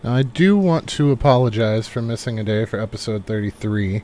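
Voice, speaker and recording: A man giving relaxed, rambling live commentary on a game he is playing, thinking aloud as he goes, while recovering from a cold. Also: lowish voice, a little fast. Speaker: game streamer. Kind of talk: casual live gameplay commentary.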